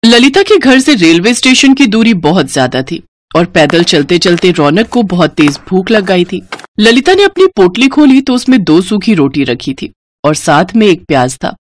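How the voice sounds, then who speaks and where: A woman narrating a scripted moral story in an expressive storytelling manner. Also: lowish voice, moderate pace.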